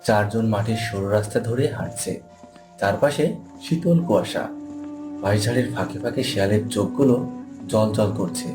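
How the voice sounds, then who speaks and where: A man's voice: on the low side, normal speed, over music. A man serving as narrator, delivering scripted narration for a horror story.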